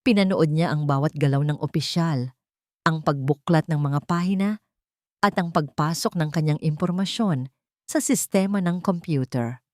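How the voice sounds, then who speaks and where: A Filipino woman narrates a scripted melodrama. Her voice sits on the low side, with a solemn, measured storytelling delivery. The sound is dry, with very little room. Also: no room sound. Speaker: audio drama narrator.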